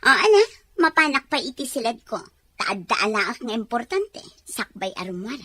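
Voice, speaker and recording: Woman acting a high, swooping, haughty schemer. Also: big pitch swings, normal speed, sharp tone, husky, no echo, exaggerated voice. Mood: curt, haughty. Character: scheming, haughty woman of means plotting against a child. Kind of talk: dramatized audio story acting.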